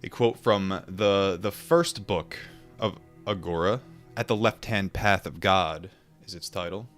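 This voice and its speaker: A man reading a book aloud and adding informal asides, in a measured, deliberate manner. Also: deep voice, fairly bright tone, faint music behind. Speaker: audiobook reader and commentator.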